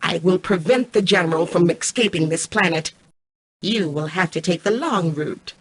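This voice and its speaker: Cold, imperious female game AI, husky edge. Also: lowish voice. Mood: calm, superior. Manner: formal, measured, commanding delivery. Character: cold, arrogant female mainframe artificial intelligence, calculating and imperious. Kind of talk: scripted video game voice acting.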